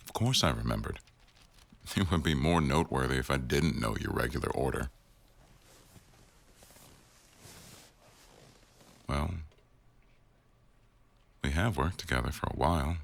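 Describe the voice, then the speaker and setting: A man playing an attentive, dry-witted male news boss in an intimate boyfriend ASMR roleplay, speaking in a measured, gently teasing way. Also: rumbling low, gravelly, exaggerated voice. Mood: attentive, wry. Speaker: audio roleplay performer.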